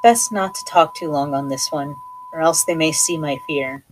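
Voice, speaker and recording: A woman's voice: low-pitched, normal speed, a little hiss or hum. A woman hosting a horror podcast, talking in a conversational, playful and enthusiastic way. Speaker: podcast host.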